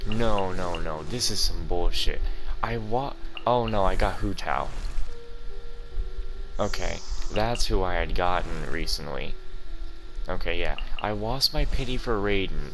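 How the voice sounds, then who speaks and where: A man's voice: low voice, a little slow, vocal fry. A man hosting a gaming video with casual, informal playthrough commentary. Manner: informal, swearing. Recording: game music behind the voice.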